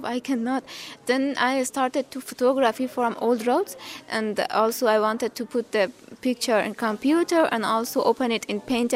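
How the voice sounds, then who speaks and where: A woman in her twenties from Afghanistan, an artist speaking hesitant with pauses and small laughs. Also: fairly high pitch. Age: 20s. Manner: halting non-native English with frequent pauses and soft laughs. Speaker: graffiti artist. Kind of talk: interview.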